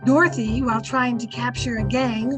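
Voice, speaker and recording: A woman's voice: fairly high pitch, level tone, normal speed, lightly acted. A woman narrating as the announcer of a 1940s-style radio drama, reading a scripted scene introduction. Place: remote video-call performance. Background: music.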